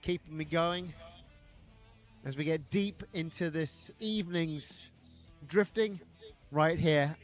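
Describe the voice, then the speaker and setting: Man, high voice, live motorsport commentary, excitable, loud music behind. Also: slow, pauses often. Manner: excitable, jokey asides between calls. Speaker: drift event commentator.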